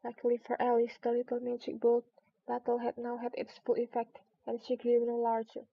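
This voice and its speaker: A woman reads a storybook aloud in a high voice with a touch of creak. The recording is dry and slightly muffled. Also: completely flat delivery, fairly quick, no room sound. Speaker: storybook narrator. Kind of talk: read-aloud storybook narration.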